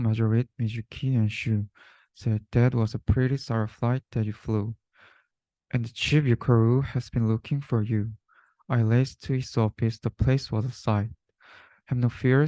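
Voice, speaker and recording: Mellow young man reading rhyming verse. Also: medium pitch, steady tone, normal speed, dry recording. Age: young adult. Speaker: student reader. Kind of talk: reading a rhyming children's storybook aloud.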